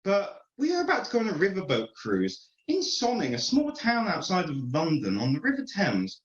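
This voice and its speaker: A man's voice: high-pitched, huge pitch swings, normal speed. A man voicing a doting, matter-of-fact English mother with a cooing, singsong exaggeration in a comic story. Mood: cooing, chatty. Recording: roomy, slightly muffled online call.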